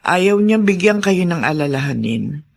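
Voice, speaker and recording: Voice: medium pitch, lively intonation, normal speed, gravelly. A performer playing a concerned older neighbour woman in a radio drama, hesitant, confiding and pleading. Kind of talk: Tagalog radio drama acting.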